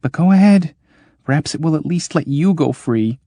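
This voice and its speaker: A man's voice: on the high side, expressive, normal speed, dry recording, pitched above the performer's own voice. A man doing a timid inventor who snaps into defiance. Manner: soft, then shouting hoarsely. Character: timid male constructor turning stubbornly defiant.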